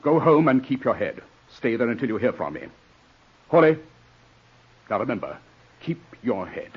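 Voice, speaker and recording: A man's voice: mid-range voice, sing-song, moderate pace, slightly rough. An actor in a 1940s radio drama playing a stern, ambitious barrister, a cold, self-serving man with a clipped, commanding delivery. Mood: curt, commanding. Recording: muffled vintage 1940s radio recording.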